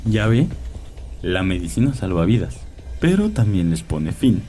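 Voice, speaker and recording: A low-voiced, courtly old vampire doctor. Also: male voice, big pitch swings, mellow, a little hiss or hum. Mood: cold, philosophical. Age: older. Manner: courteous, measured, wise-sounding, coldly menacing. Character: elderly cunning male vampire posing as a doctor. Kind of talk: dramatized audio story acting. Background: prominent music.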